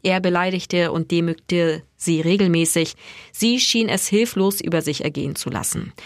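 A woman reads news items in a steady, clear voice. Her pitch sits a bit low. The studio sound is dry and close, with no room echo. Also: normal speed. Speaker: news reader. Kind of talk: scripted news narration.

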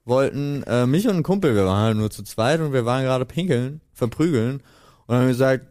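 A man's voice: mid-range voice, animated, fairly quick, a little mellow. A man with a mid-range voice on a casual podcast. He is from Berlin and speaks in a dry, matter-of-fact way, reading out facts and telling short anecdotes without fuss. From Berlin, Germany. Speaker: podcast co-host.

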